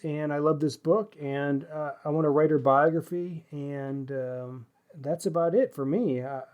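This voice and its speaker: A man's voice: on the high side, darkish tone. A man co-hosting a podcast, talking through books in a casual, reflective way with literary wording and some hesitant phrasing. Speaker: podcast co-host discussing books.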